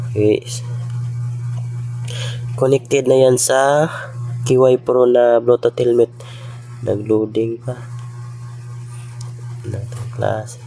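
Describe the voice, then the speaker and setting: A man's voice: medium pitch, vocal fry, mellow, slight background noise. A man giving a casual hands-on vlog tutorial, informally addressing his viewers as he goes. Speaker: vlogger demonstrating a gadget.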